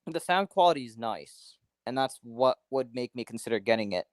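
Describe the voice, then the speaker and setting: A man's voice: medium pitch, animated, moderate pace, no room sound. Chatty man hosting a casual livestream. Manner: chatty, opinionated, rambling with restarts. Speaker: livestream host. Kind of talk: casual chat.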